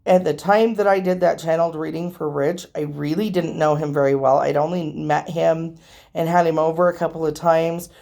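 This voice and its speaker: A woman talks casually to her viewers in a low, gravelly voice that has gone hoarse and a little nasal from allergies. She rambles chattily, with plenty of ums along the way. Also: fairly even intonation, normal speed, mellow. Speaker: tarot reader and channel host. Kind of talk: casual vlog talking to viewers.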